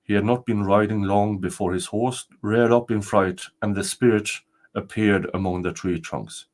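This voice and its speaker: A man's voice: lowish voice, moderate pace, darkish tone. An older man narrating a folktale as a storyteller, reading from a written text. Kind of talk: scripted folktale narration, read aloud.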